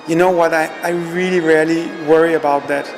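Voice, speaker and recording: A man's voice: a little high, steady tone, fairly quick, over music, rough recording. A man from Denmark in his fifties, an artist answering interview questions in a reflective, hesitant way, often repeating himself.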